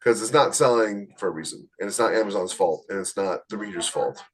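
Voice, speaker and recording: A man giving casual, blunt advice as a podcast host, plain-spoken, with a slightly husky voice. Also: fairly low pitch, fairly quick. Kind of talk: casual advice chat about book publishing.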